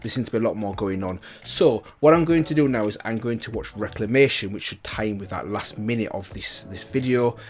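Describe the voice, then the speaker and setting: A man's voice: fairly low pitch, brisk, few pauses. A man hosting a reaction video, reviewing casually and thinking aloud in a rambling way.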